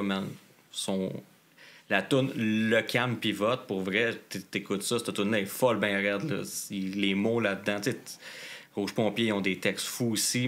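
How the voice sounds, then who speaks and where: Slangy Québécois man, bright and punchy. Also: lowish voice. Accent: Québécois French. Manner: enthusiastic, slangy. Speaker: band member guest. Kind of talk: casual interview chat.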